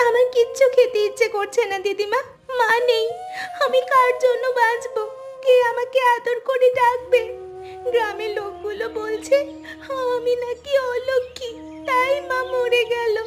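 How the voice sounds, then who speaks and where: Tiny innocent village girl, super high voice, earnest and pleading, cartoon drama w loud music. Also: steady tone, unhurried. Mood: grieving. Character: innocent, devoted poor little village girl. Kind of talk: melodramatic cartoon acting.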